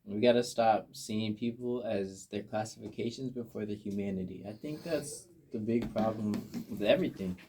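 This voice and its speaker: A man's voice: lowish voice, moderate pace, few pauses, background noise. An American man in a casual podcast conversation, speaking earnestly with slang and repeating phrases for emphasis.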